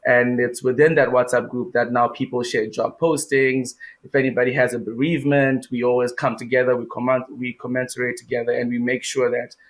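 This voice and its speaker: A man's voice: mid-range voice, level tone, brisk, few pauses. A young man from Zimbabwe, a guest in an interview, answering with many fillers and restarts.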